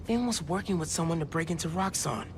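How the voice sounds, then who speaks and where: Man playing a high-voiced, curious teenage hero. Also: quick pace, a little hiss or hum, close to an everyday voice. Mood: pensive, focused. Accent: American. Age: young adult. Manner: casual, clipped, thinking aloud. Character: earnest, curious teenage male superhero investigating. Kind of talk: video game voice acting, self-talk while exploring. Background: background music.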